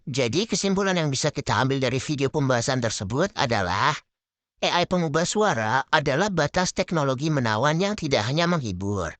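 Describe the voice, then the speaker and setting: A man's voice: mid-range voice, a bit bright, dry recording. A young man narrating a scripted, formal conclusion for a class presentation. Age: young adult. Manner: formal, measured. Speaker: student presenter.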